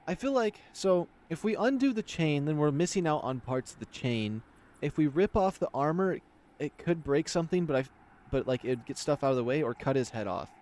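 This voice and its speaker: A man's voice: a little high, animated, normal speed, darkish tone. A man narrating a visual novel aloud as a let's player, switching between story reading and casual commentary. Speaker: video game let's player.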